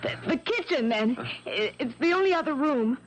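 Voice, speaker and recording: An emotional, coquettish young fiancée in an old radio mystery. Her voice is high and melodramatic, on a noisy vintage recording. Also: animated, normal speed. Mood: alarmed. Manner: melodramatic, breathless exclamations. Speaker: radio drama actor. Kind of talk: 1970s radio mystery acting.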